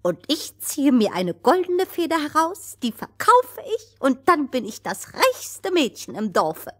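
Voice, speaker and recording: A greedy young village girl from a fairy-tale record, acted by a woman. She sounds eager and boastful, her pitch jumping high above an ordinary talking voice. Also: sing-song, unhurried, a bit bright, full character voice. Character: greedy young innkeeper's daughter.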